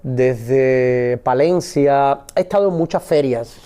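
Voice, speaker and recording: A middle-aged Cuban man with a Cuban accent, answering interview questions in a measured, reflective way with frequent pauses and restarts. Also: medium pitch, mellow, clean tone. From Cuba. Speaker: actor and poet guest. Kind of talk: interview conversation.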